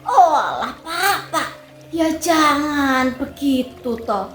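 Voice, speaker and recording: A woman voicing a gently scolding old man protecting a forest in a storybook tale. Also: high voice, lively intonation, slow, heavily acted. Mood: chiding. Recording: roomy echo, faint music.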